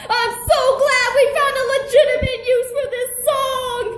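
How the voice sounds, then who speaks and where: A woman's voice: very high voice, flat delivery, moderate pace, slight background noise, pitched above the performer's own voice. A woman voicing a cheerful male pig with wings who speaks in a cheery, sing-song way. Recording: roomy echo.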